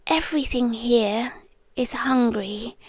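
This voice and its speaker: A British woman playing a possessive demon girlfriend in an ASMR roleplay, halting and breathy, eerily tender and menacing, close on the mic. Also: fairly high pitch, no echo. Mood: eerie, confessional. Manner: breathy whispering, halting, drawn-out delivery. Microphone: close, dry ASMR microphone.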